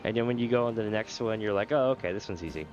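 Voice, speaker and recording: A man's voice: on the low side, rapid-fire, darkish tone, dull audio. A man with a sore throat and a nasal voice, casually explaining a game to viewers during a live stream. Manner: casual, explanatory, chuckles often. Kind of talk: live gameplay commentary.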